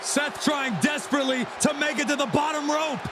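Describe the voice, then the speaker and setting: High-pitched male wrestling commentator over loud crowd. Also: fairly even intonation, brisk, noisy background. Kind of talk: excited sports commentary. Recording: loud arena crowd and music behind. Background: roaring crowd, music, slams.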